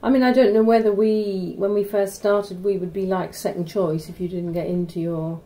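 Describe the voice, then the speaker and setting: A woman's voice: mid-range voice, steady tone, normal speed, warm tone, rough recording. An older woman with a Norwich accent, a retired university secretary recalling her working years in a chatty, reminiscing way. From Norwich, England. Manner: chatty, reminiscing, trailing off into asides. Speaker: interviewee, former university secretary. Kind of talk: oral history interview.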